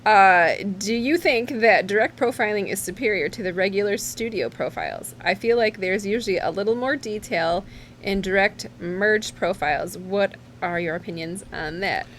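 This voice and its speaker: A woman's voice: medium pitch, animated, moderate pace, fairly bright tone. A woman co-hosting a casual live chat, speaking in a playful, bantering way. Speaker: co-host reading viewer questions. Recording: noisy live stream recording.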